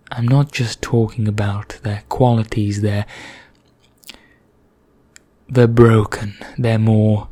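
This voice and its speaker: A man playing a vain, condescending vampire in an ASMR roleplay, speaking formally with measured pauses in a husky, breathy voice that nears a whisper. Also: fairly low pitch, mellow, no room sound, full character voice. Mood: condescending, explanatory. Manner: slow, measured, formal, pompous, with deliberate pauses. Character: vain, condescending male vampire elder, calmly sinister.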